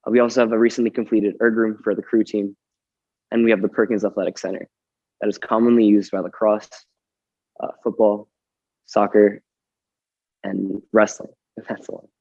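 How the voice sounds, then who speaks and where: A young man in his late teens with a slightly raspy voice speaks low and mellow, delivering a polite, prepared welcome. The dry, dull lo-fi recording keeps him close, with no room sound around him. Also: little pitch movement, moderate pace, long pauses, no room sound. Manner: formal and polite. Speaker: student team captain. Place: school gym.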